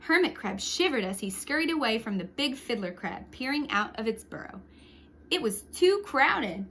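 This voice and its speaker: A woman reading a picture book aloud to young children, expressively, with short hushed asides to the listeners. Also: fairly high pitch, moderate pace. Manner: expressive, with hushed whispered sound words and asides. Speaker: storytime reader for young children.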